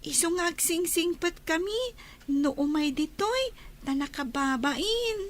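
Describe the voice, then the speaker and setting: An actress playing a small, obedient younger sibling with an Ilocano accent in a radio drama. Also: female voice, very high-pitched, expressive, unhurried. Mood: meek, earnest. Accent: Filipino, Ilocano.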